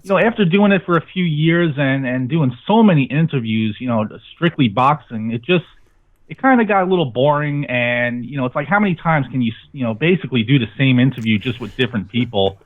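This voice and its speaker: A man's voice: medium pitch, expressive, a little fast. A man speaking as an interview guest in casual, talkative, informal conversation. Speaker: podcast network owner, guest. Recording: tinny phone-like line.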